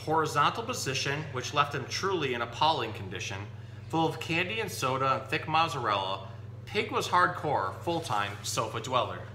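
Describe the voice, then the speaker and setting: Bright, forceful man presenting in an echoey room. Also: mid-range voice, sing-song, normal speed. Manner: informal, explanatory. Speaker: zookeeper presenting animals and reading stories to children. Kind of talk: informal animal lesson and picture-book read-aloud. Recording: roomy echo with background noise and hum.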